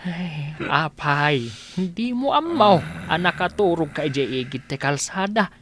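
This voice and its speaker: A woman's voice: low-pitched, lively intonation, measured pace, fairly bright tone, a little hiss or hum. A woman playing an elderly village father in an Ilocano comedy radio drama, concerned and scolding, with an Ilocano accent and an exclaiming manner.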